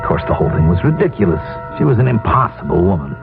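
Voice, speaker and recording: Low-voiced, anxious middle-aged husband. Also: male voice, sing-song, very fast, vocal fry, full character voice. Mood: brooding, grim. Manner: confessional, brooding. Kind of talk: 1970s radio drama acting and first-person narration. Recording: muffled 1970s radio recording, music behind.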